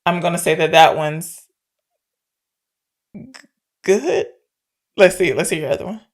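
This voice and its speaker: A woman's voice: on the low side, unhurried. A woman co-hosting a podcast, casually and playfully discussing films. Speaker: podcast co-host.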